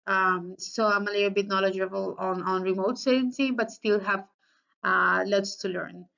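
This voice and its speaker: A woman's voice: fairly even intonation, normal speed, vocal fry, fairly bright tone. A woman from Colombia in her thirties, introducing herself to a class in English with a Colombian accent, speaking with many fillers and restarts. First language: Spanish. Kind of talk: casual self-introduction. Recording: slightly muffled low-fidelity recording.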